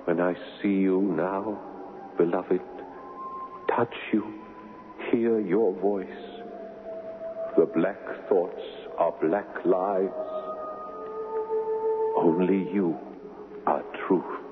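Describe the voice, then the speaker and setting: An actor playing a brooding, lovelorn crown prince in a 1970s radio drama, grave, formal and measured. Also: male voice, low-pitched, steady tone, long reverb tail, over music. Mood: tender, solemn. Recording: muffled narrow-band old radio, faint noise.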